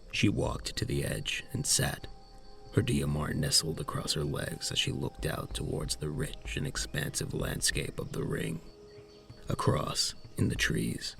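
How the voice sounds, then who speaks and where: A man narrating a scripted science fiction audio drama in a measured storytelling manner. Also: rumbling low, creaky, fairly bright tone.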